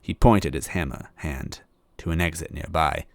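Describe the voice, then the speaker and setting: A man's voice: very deep voice, moderate pace, creaky, no room sound. A man reading a story aloud as a dramatic first-person narrator. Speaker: story reader.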